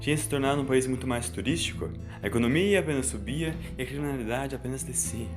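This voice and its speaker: Fast Brazilian male story narrator over music. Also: medium pitch. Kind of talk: scripted audio story narration.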